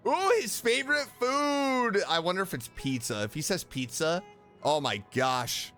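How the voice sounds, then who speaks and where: A man giving casual, excitable and playful reaction commentary as a video streamer, gushing with enthusiasm. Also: high-pitched, unhurried, faint music behind. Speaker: YouTube streamer reacting to game voice lines.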